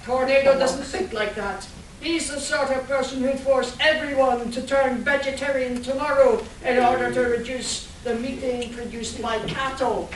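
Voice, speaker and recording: A woman's voice: high-pitched, fairly even intonation, normal speed, natural voice. A woman playing a scornful, haughty villain, a scheming weather scientist in a comic radio play. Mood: contemptuous. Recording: echoey room, far mic, faint noise.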